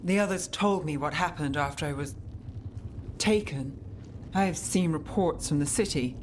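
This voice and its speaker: A woman's voice: deep voice, moderate pace, slight background noise, lightly acted. A woman voicing a cool, resolute female mage in a video game, guarded and matter-of-fact with a dry wit. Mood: guarded, determined. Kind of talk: video game character acting.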